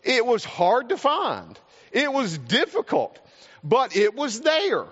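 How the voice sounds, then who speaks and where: A man's voice: very high voice, wildly swooping pitch, slow pace, fairly bright tone, breathy edge. A man with a South Carolina accent, preaching a sermon and telling a personal story in a folksy, earnest way. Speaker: pastor. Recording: reverberant hall, dull lo-fi sound.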